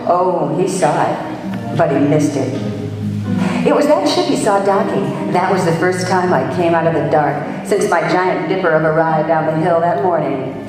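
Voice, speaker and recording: A woman playing a brisk, wisecracking female private detective in an old-time radio play. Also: deep voice, loud music behind the voice, lightly acted. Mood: wry narrating. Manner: wisecracking, bossy. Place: live stage performance in a hall. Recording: very echoey hall, distant microphone.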